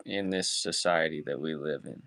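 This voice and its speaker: A man's voice: a bass voice, normal speed, fairly bright tone, no room sound. A man hosting a podcast in casual, slangy chat with some swearing and laid-back phrasing. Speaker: podcast host.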